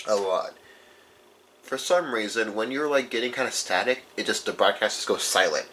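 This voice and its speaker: A man's voice: fairly low pitch, normal speed, sharp tone, low-quality recording. A man giving a casual, unscripted show-and-tell as a collector, rambling informally and correcting himself as he goes. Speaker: radio collector presenting his collection. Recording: faint background noise.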